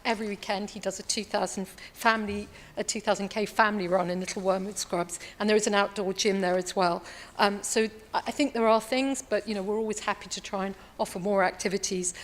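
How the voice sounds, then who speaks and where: A woman's voice: mid-range voice, steady tone, fast talker. Hesitant woman councillor in a council chamber. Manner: earnest, hesitant, many restarts. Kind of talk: answering a question at a council meeting. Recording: long hall reverb.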